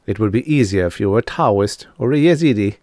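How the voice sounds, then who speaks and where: A man's voice: lowish voice, expressive, brisk, darkish tone, no echo, clean studio sound, natural voice. A man voicing a proud, suave devil in an audiobook, lecturing in a matter-of-fact, condescending way as he bargains. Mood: condescending, reasoning. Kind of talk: audiobook character dialogue.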